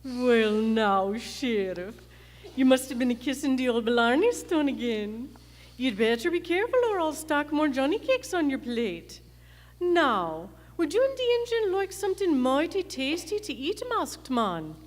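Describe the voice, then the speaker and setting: A high, lilting Irish cafe owner. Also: female voice, sing-song, measured pace. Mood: cheery, teasing. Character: cheery, sassy Irish woman running a frontier cafe. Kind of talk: old-time radio western acting. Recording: echoey live theatre hall.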